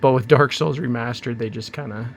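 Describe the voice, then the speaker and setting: A man hosting his own channel, chatting casually and rambling through an unboxing, hoarse from a cold. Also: mid-range voice, a little fast, a little hiss or hum. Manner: rambling, chatty, self-interrupting. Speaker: channel host.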